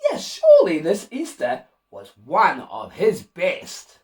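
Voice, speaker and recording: Animated man reading a children's story. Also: sits high, slow pace, far from the mic. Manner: animated, with asides and questions to young listeners. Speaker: children's storybook reader and host. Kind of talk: expressive read-aloud of a rhyming children's picture book.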